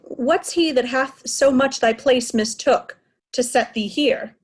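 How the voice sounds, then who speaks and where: A woman playing a proud, imperious old king in a Shakespeare stage read-through, with a husky voice. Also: sits high, slow pace, full character voice. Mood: puzzled.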